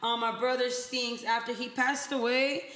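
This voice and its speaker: A woman's voice: fairly high pitch, flat delivery, moderate pace, a bit bright. A woman from the San Fernando Valley co-hosting a casual podcast chat, blunt and swearing freely, switching into Spanish at times. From San Fernando Valley, California. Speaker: podcast co-host. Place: borrowed home studio. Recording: echoey room.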